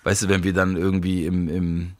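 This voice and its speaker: A man's voice: low-pitched, completely flat delivery, moderate pace. A man being interviewed who talks in a candid, casual way.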